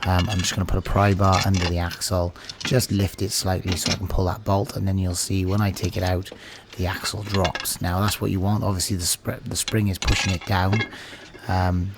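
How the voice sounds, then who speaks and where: A man's voice: low-pitched, brisk, heavy background noise. A British man in his thirties who is losing his voice, chatting casually as he walks through a DIY car repair tutorial. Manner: relaxed, chatty, filler-heavy explaining. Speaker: channel host, car mechanic. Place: small home workshop office indoors.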